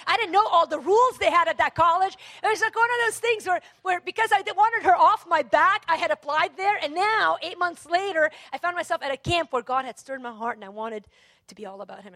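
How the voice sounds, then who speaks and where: High-voiced woman preaching, close dry sound. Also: dry recording. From Lebanon. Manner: emphatic, repeats phrases for effect, swings from hushed to forceful. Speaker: preacher, Bible teacher. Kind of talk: sermon with scripture reading and personal testimony.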